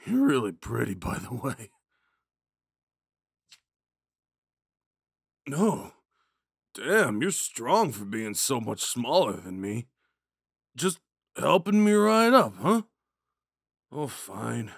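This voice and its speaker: Man acting a slow-talking drunk himbo jock. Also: medium pitch, sing-song, vocal fry, breathy edge, no echo, clean studio sound, heavily acted. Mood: playful, rambling. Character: drunk, dim, sweet young male college jock. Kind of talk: ASMR audio roleplay.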